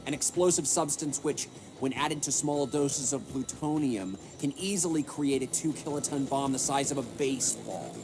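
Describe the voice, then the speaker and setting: An actor playing a stern, cold government interrogator, pointed and prosecutorial, in a game cutscene. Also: male voice, medium pitch, normal speed, few pauses, noisy background, close to an everyday voice. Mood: accusing.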